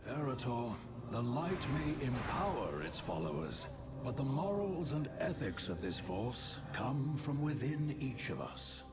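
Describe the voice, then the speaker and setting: An earnest holy priest from a fantasy video game, preaching about morals in a solemn, lively tone. Game music plays clearly behind him. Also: male voice, mid-range voice, unhurried. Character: earnest male priest of the light, calm and moral.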